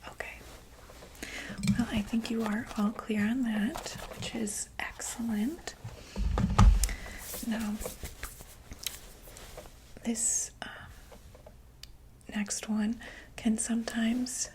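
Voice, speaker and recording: A woman whispering an ASMR medical roleplay as a doctor, gently reassuring and checking in with the listener. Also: on the high side, flat delivery, drawn-out words, long pauses, background noise.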